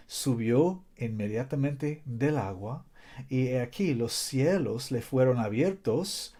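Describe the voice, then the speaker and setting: Preacher speaking Spanish as a learner. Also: male voice, medium pitch, dark tone. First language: not Spanish. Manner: earnest, reads scripture aloud, repeats key points. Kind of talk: Bible teaching in Spanish as a second language.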